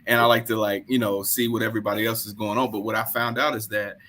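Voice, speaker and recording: A man from High Point, North Carolina, a panel guest talking in a laid-back, slangy way over a video call. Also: level tone, fast talker, sharp tone, slight background noise. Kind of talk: casual chat on a video call.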